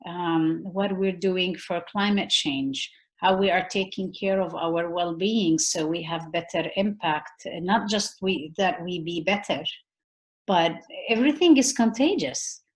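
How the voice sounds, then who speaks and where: A middle-aged woman with a Middle Eastern accent, speaking earnestly on a video call from home and often pausing to search for words. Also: lowish voice. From Middle East. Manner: earnest, searching for words with many fillers and restarts. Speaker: guest, peace activist. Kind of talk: reflective conversation. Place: room at home. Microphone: laptop mic on a video call.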